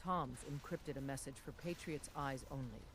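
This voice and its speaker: A woman's voice: a bass voice, moderate pace, noisy background. A woman voicing a stern, secretive underground resistance leader in a video game, speaking in a grave, commanding way. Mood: firm, instructing.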